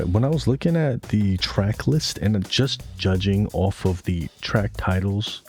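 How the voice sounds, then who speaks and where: A man, a music producer, talking casually about an album he just heard. He speaks in a laid-back, slangy way with some swearing, and his voice sounds hoarse and tired. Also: low voice, a little mellow. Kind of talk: casual reaction commentary.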